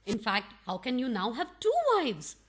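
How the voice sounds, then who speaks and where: A woman's voice: a little high, sing-song, moderate pace, fairly bright tone, a little hiss or hum. A woman playing a prim, easily scandalised housewife in a farcical radio play, pointed and theatrical. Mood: baffled, scandalised.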